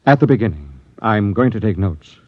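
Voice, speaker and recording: An actor playing a grave, driven expedition captain, dramatic and deliberate, in old-time radio drama style. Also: male voice, on the low side, lively intonation, no echo. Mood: urgent. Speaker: narrator and lead actor. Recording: dull vintage radio recording with music.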